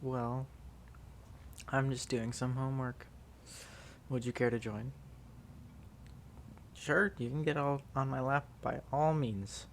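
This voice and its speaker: A man playing an affectionate, sleepy student in an intimate ASMR roleplay, soft, teasing and halting as he dotes on a pet. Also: medium pitch, steady tone, slight background noise. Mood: tender, teasing. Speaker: audio roleplay performer.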